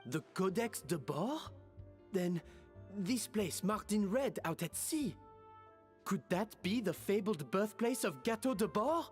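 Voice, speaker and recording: A woman voicing an earnest, concerned, responsible young woman in a video game, speaking in measured phrases. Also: medium pitch, big pitch swings, big-room sound, soft background music. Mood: intrigued.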